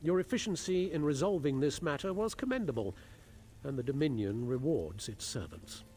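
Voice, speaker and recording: Haughty elf prince, animated delivery. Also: male voice, a little high, moderate pace, a little hiss or hum. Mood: stern, disdainful. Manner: formal, haughty, clipped disdain. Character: stern, proud high elf prince, bitter yet dutiful. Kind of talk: fantasy video game dialogue. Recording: game music behind the voice. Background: game music.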